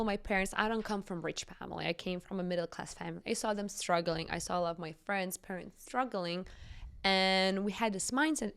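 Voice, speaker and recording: A woman in her twenties speaks with a Lithuanian accent in a clear, resonant voice at a medium pitch. She tells her story conversationally as an interview guest, stressing her points in an informal, animated way. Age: 20s. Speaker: entrepreneur guest.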